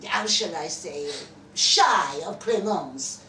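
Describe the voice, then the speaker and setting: A woman reading a story aloud as a courteous, sentimental Frenchman in his fifties, halting and earnest. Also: fairly low pitch, lively intonation, pushed and bright, heavily acted. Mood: embarrassed. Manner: halting, earnest, self-conscious. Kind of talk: live short story reading. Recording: echoey venue, far from mic, noisy.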